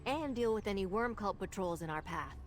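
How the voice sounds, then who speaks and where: Lady voicing a no-nonsense mage sidekick in a fantasy game, dry and steady, mid-pitched, little music under it. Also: a little fast, lightly acted. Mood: matter-of-fact. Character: practical female mage companion, dry and steady. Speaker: game character. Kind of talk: video game dialogue.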